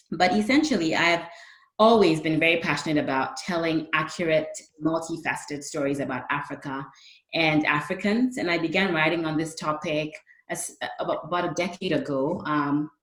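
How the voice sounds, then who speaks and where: Roomy-sounding, low-voiced woman panelist. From Ghana. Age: 30s. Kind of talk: considered panel remarks.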